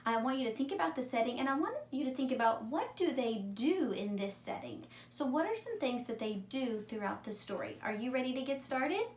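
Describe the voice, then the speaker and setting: Female teacher for children's story time, fairly high voice, simple questioning delivery, recorded at a distance. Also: expressive, fairly quick, few pauses. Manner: simple wording, addresses young listeners with questions. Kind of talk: read-aloud story time for young children. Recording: echoey room, distant mic, faint noise.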